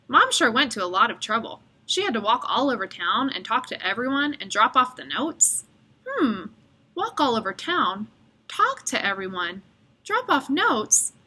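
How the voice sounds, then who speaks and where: Bright, lively woman reading a children's story. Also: fairly high pitch, slightly rough. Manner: expressive, animated storytelling. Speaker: read-aloud storyteller. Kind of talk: children's picture book read-aloud.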